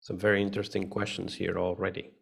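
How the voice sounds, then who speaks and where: A man's voice: low voice, monotone, fast talker, creaky, husky. A man hosting a live online session, speaking casually with many fillers and restarts over a video call mic. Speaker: host.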